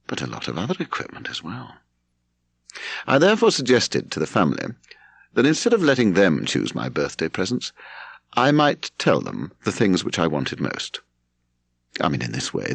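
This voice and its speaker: A low-voiced British man narrates a literary memoir with a gravelly edge. His delivery is measured and expressive, with pitch that moves freely as he tells the story. The recording is close and dry. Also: dry recording. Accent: British English. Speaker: audiobook narrator.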